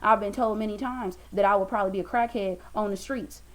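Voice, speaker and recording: A woman's voice: medium pitch, steady tone, fast talker. Woman, 20s, motivational vlogger; emphatic, repetitive preaching into a laptop cam at home. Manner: emphatic, preachy, repeats for stress. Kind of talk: motivational talk to camera. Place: small room indoors.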